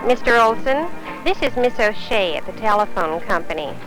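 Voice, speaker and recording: An actress playing a polite, formal American telephone company service representative in a 1950s training film. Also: female voice, mid-range voice, animated, normal speed, lightly acted, poor audio. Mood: polite, businesslike. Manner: courteous, formal, carefully phrased. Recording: 1950s film soundtrack, music behind.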